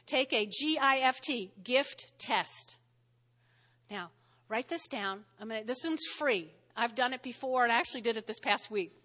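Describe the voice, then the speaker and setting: A woman pastor with a New Jersey accent, preaching a sermon in a lively, conversational way and often checking in with "all right?". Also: fairly high pitch, moderate pace. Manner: lively, spells words out, frequent "all right?" check-ins. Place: church sanctuary. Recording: long hall reverb.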